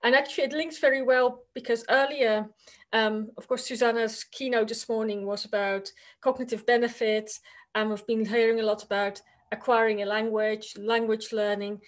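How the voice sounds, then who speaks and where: A woman's voice: a little high, steady tone, moderate pace. A woman with a Dutch accent, Dutch her first language, giving an academic conference presentation over a video call. She talks in a conversational, hesitant way, with many fillers.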